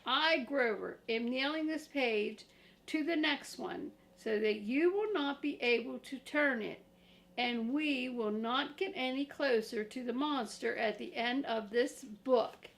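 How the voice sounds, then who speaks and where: A woman's voice: sits high, unhurried, creaky. A woman voicing a lovable, frightened furry monster from a storybook, pleading emphatically and theatrically. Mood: earnest, insistent.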